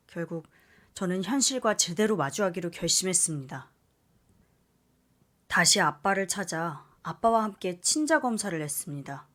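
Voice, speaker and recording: Low-pitched woman reading a dramatic story. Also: fairly bright tone. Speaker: story narrator. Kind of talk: scripted first-person story narration.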